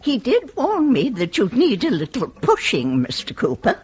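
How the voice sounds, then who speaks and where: An older British actress playing a genteel, courteous and spirited widow of eighty-four in a radio play. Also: female voice, on the high side, huge pitch swings, normal speed, darkish tone, breathy edge, exaggerated voice. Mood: polite, persuasive. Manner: courteous, polite formal address. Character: genteel, chatty 84-year-old widow, vain and spirited. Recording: dull, muffled radio play recording.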